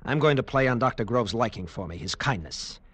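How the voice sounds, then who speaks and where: An actor playing a haunted, defiant captive lieutenant, telling his ordeal with grave intensity and dramatic pauses in an old radio drama. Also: mid-range voice, lively intonation, a bit bright, slightly rough, no echo. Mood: urgent, defiant. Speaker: narrator and protagonist. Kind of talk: dramatic first-person radio narration, 1940s-50s.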